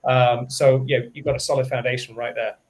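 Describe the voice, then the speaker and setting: A man co-presenting a software webinar, emphatic and full of recommendations, often repeating words for stress. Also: mid-range voice, flat delivery, a little fast. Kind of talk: enthusiastic product webinar talk.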